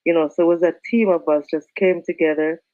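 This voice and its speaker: A woman's voice: fairly low pitch, fairly even intonation, fast, no echo. A woman reggae singer, chatting as a guest and filling her talk with "you know". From Canada and Jamaica. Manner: warm, chatty, many "you know" fillers, Jamaican phrasing. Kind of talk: casual interview chat. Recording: dull lo-fi call audio.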